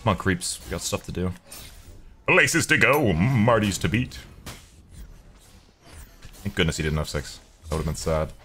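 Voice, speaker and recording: A man's voice: deep voice, lively intonation, a little slow, long pauses, pushed and bright, soft background music. A young man giving casual, jokey gaming commentary, slangy and sweary, talking to himself and his viewers. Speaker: video game streamer.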